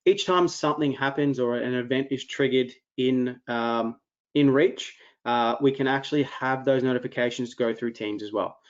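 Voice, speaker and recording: A man walking through a software demo in a webinar, talking loosely with many ums and restarts. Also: medium pitch, vocal fry, highs cut off. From Australia. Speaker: product presenter.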